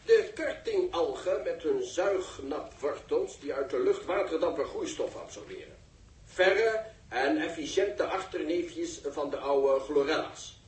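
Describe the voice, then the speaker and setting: An actor playing a pompous, easily flustered live reporter in a 1960s radio drama, speaking in formal, florid phrases. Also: male voice, fairly high pitch, animated, measured pace. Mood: self-important. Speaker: television reporter. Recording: echoey, distant 1960s radio recording.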